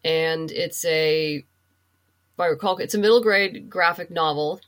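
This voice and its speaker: A woman's voice: low voice, unhurried, a bit bright. A woman being interviewed as a podcast guest, explaining things thoughtfully in a casual, conversational way.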